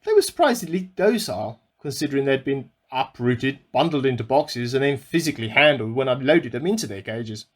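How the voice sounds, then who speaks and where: A man's voice: mid-range voice, expressive, a little fast, hardly any pauses, close to an everyday voice. A man playing an eccentric amateur scientist who earnestly records a self-important secret diary in a radio play. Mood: weary, musing. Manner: earnest, self-important, musing aloud in dated log entries.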